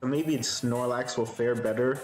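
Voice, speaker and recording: A man live-streaming a game, with a soothing voice, thinking aloud in a relaxed way and answering chat. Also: medium pitch, measured pace, reverberant, faint music behind.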